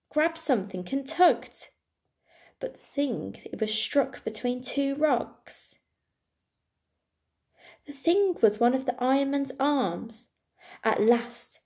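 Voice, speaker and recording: A woman reading a storybook to children expressively, like a primary school teacher, with deliberate dramatic pauses and emphasis. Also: a little high, a little mellow.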